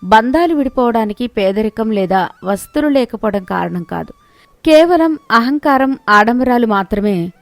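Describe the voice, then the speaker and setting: A woman narrating a scripted moral story in an expressive, measured way. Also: on the high side, no echo.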